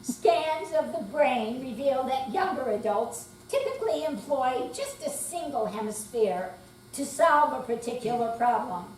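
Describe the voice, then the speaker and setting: A woman's voice: high-pitched, a little mellow. An older woman giving a dramatic stage reading as an amateur readers theater performer. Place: senior center hall, indoor live performance. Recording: distant, echoey room with faint hum.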